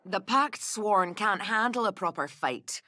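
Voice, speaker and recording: A woman's voice: medium pitch, lively intonation, unhurried, bright, forceful tone, no room sound. A woman voicing a haughty, scornful female elf ranger in a video game, speaking in a dry, sardonic, disdainful way. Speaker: voice actor. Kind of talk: video game character voicelines.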